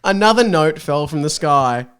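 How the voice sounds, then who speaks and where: A man's voice: on the high side, expressive, moderate pace, a bit bright, clear, resonant tone. A man reading a story aloud as a podcast guest, playful and mock-dramatic, breaking off to comment casually.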